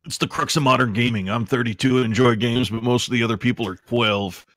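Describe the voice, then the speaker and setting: A man's voice: medium pitch, quick pace, a bit bright. A man chatting casually as a panelist on a podcast. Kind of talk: casual podcast banter and comic reading. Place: live group podcast stream.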